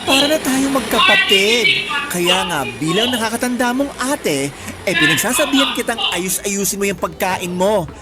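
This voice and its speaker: A woman's voice: mid-range voice, natural voice. A woman playing a bossy, caring older-sister friend in a Filipino radio drama, slangy and scolding, with a Filipino accent. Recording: music bed under the dialogue.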